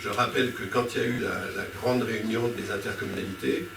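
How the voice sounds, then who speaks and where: A man speaking off the cuff as a panelist at a local political debate, arguing his case in a halting way and often rephrasing mid-sentence. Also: fairly low pitch, steady tone. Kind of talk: impromptu political speech to a public meeting. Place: indoor public meeting room. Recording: echoey room, distant mic, clear background noise.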